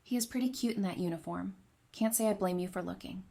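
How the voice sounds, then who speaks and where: A woman's voice: mid-range voice, fast talker, gravelly, dark tone, natural voice. A woman playing a frank, loyal and caring friend in an audio drama. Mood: reassuring.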